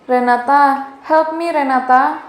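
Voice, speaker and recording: A woman with an Indonesian accent playing a timid, trusting bullied high school girl in an amateur radio drama. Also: fairly even intonation, unhurried, poor audio. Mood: pleading.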